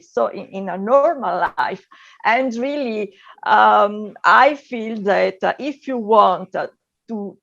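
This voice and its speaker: A woman from Italy with an Italian accent, a guest on a video panel, talking with many pauses and filler sounds. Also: medium pitch, creaky, highs cut off.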